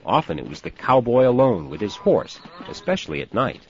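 An actor playing an easygoing rancher who tells stories about the Old West in a scripted language-course dialogue. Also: male voice, medium pitch, very animated, a little fast, a little hiss or hum, natural voice. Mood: storytelling. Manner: easygoing, storytelling. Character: friendly older male rancher recounting Old West history. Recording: dull lo-fi course audio.

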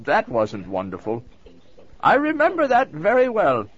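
A man's voice: high-pitched, wildly swooping pitch, a little slow, breathy edge, exaggerated voice. A wry, very old man. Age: older. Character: very old man, dry-humoured nursing home resident. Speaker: nursing home resident. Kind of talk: scripted language-course dialogue. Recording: dull lo-fi recording.

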